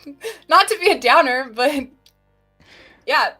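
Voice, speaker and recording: A woman's voice: high voice, animated, measured pace, bright, forceful tone, soft background music. Woman streamer, chatty and self-deprecating, loose stream banter into a computer mic. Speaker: stream co-host. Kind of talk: casual livestream chat.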